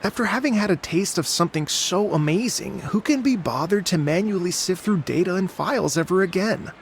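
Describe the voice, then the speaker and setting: A man's voice: high voice, lively intonation, a little fast, a little hiss or hum. A man voicing a chatty, work-shy young academic in a video game, explaining things candidly. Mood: candid, easygoing.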